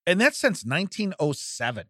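A man's voice: high voice, big pitch swings, a little fast, pushed and bright, no echo, studio quality. A man in his forties co-hosting a comedy podcast, chatting casually and reading out odd news with rambling, jokey asides. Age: 40s.

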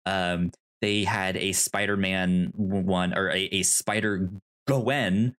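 A man co-hosting a casual pop culture podcast, talking in a halting, rambling, informal way. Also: deep voice, steady tone, a bit bright. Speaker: podcast co-host.

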